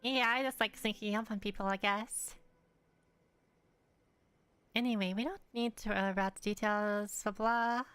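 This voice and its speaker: A woman's voice: medium pitch, fairly even intonation, moderate pace, pauses often, fairly bright tone, clean tone, dry recording. A young woman live streaming a game from her room, chatting offhandedly and rambling as she answers viewers. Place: room at home.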